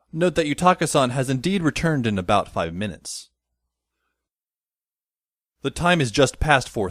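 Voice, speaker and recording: A man's voice: medium pitch, dry recording, studio quality. A man playing a wry, put-upon teenage boy, narrating in the first person for an audio drama. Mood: matter-of-fact.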